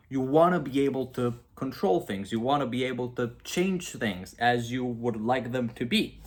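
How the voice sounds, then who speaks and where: A young man with a Romanian accent, talking casually to camera about philosophy, rambling and self-mocking with frequent asides. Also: medium pitch. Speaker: video creator.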